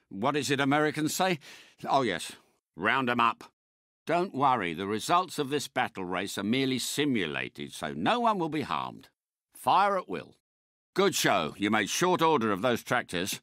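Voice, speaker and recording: An actor playing a suave, courteous British spy car in a video game, a polite and unflappable mentor with refined, precise phrasing. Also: male voice, medium pitch, sharp tone, no room sound, clean studio sound. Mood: composed, instructive. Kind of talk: scripted game character voice lines.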